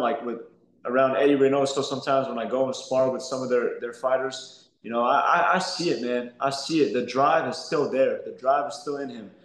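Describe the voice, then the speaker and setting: Young man, boxer, earnest, halting, repeats himself, echoey room. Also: mid-range voice. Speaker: professional boxer. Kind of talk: interview answers. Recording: roomy echo.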